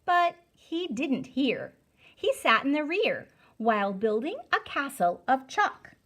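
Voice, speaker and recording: A woman reading a storybook aloud to children as a teacher, deliberate, emphatic and instructive. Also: high-pitched, very animated, a bit bright.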